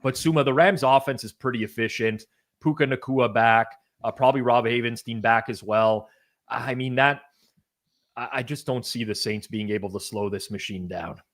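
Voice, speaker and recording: A man's voice: medium pitch, normal speed, a bit bright. A man hosting a sports betting discussion, talking casually with restarts and dry asides. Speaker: betting show host.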